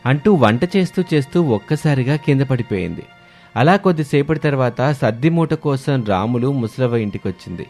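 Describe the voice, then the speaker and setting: A man's voice: medium pitch, expressive, moderate pace, a little mellow. A man narrating a scripted moral story. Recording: music behind the voice.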